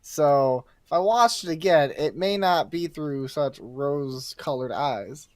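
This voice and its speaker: A man's voice: fairly high pitch, animated, unhurried, a little mellow, dry recording, studio quality. A young man speaking as a podcast guest, chatting casually and giving his opinions.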